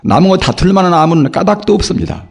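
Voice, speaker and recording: An older man, a Buddhist monk, giving a sermon in a hoarse voice from a cold, preaching slowly and earnestly with rhetorical questions. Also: high-pitched. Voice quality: gravelly, husky. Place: temple dharma hall.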